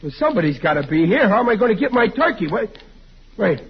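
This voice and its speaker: A man's voice: high-pitched, very animated, quick pace, breathy edge, full character voice. An actor playing a bumbling, blustering American father in an old radio comedy, stammering and easily flustered. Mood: flustered dismay. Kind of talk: 1940s radio comedy acting. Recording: muffled, hissy old radio recording.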